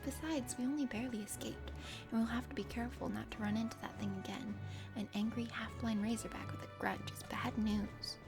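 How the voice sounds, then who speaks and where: A plucky young woman adventurer from a visual novel, as a woman reader plays her, in a highish voice. She is casual and wry, with a teasing edge. Music is clearly heard behind her. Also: fairly even intonation, a little fast, close to an everyday voice. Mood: wry, brisk. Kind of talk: visual novel character voice-over. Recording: music under the voice.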